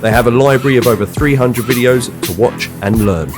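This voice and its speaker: A man's voice: mid-range voice, a little fast. Man announcing over a music bed. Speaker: podcast intro announcer. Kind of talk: scripted intro and sponsor reads.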